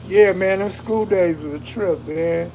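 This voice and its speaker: A man's voice: sits high, measured pace, darkish tone, slight background noise, poor audio. A man hosting a casual live stream, chatting in a laid-back, slangy, streetwise way with a soothing, soulful voice and reading comments aloud.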